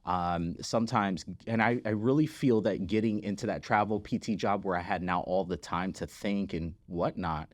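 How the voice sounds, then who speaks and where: A man's voice: sits low, normal speed, hardly any pauses, no echo. A man speaking as an interview guest, telling his story conversationally, stressing key words and often checking in with "right?". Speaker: podcast guest, physical therapist and business founder.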